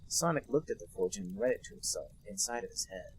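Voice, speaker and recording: A man co-hosting a podcast, reading a bad fan story aloud in a deadpan, mock-serious way. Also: mid-range voice, quick pace, slightly rough. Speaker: podcast co-host reading a fanfic. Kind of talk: comic dramatic reading.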